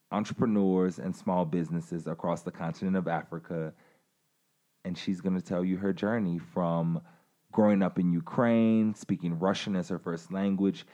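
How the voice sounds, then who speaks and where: A man's voice: deep voice, dark tone. A man co-hosting a podcast, introducing the guest in a formal, announcer-like way and asking her questions. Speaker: podcast co-host.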